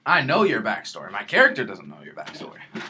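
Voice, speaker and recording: A man's voice: fairly low pitch, wildly swooping pitch, fast talker. A man chatting casually as a player in a tabletop game, offering tentative opinions. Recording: clear background noise at game table.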